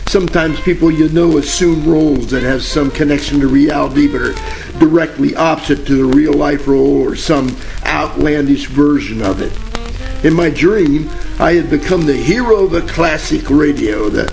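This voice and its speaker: A man playing a wry, dazed hard-boiled detective in a 1940s radio parody, with a creaky voice and rambling deadpan delivery. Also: on the high side, moderate pace. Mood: reflective narration. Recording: faint music, old radio crackle.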